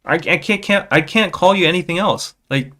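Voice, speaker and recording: A man hosting a casual livestream, talking in a playful, rambling way with halting restarts and replies to viewers. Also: high voice, bright, forceful tone. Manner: playful, jokey, often chuckling. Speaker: podcast livestream host. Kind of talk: casual livestream chat, reading viewer comments.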